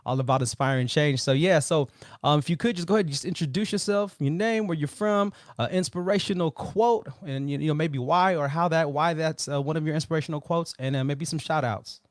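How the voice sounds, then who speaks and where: A man's voice: fairly high pitch, brisk, few pauses, dry recording, clean studio sound. Man hosting a casual motivational livestream. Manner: informal, brotherly way of addressing people. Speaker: livestream host.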